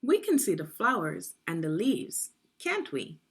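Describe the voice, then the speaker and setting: A woman's voice: medium pitch, big pitch swings, a little slow. A woman narrating a guided read-along for children, speaking in an instructive way and putting questions to young listeners. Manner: clearly enunciated, instructive, addressing young learners.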